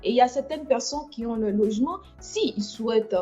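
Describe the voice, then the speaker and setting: A woman's voice: on the high side, fairly quick, mellow, highs cut off, soft background music. A woman hosting a casual livestream chat, speaking hesitantly with many fillers and restarts. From France. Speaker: livestream host.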